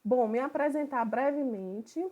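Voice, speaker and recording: A woman from Bahia, a psychoanalyst who opens an online study group in a relaxed, conversational way. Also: high voice, a little slow, muffled recording. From Bahia, Brazil. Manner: relaxed, conversational, thinking aloud.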